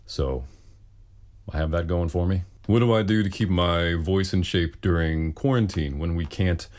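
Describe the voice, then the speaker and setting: Very deep-voiced bass man, wry and casual. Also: moderate pace, a little mellow. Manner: wry, self-deprecating humor. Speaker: bass singer answering viewer questions. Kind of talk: casual Q and A talk.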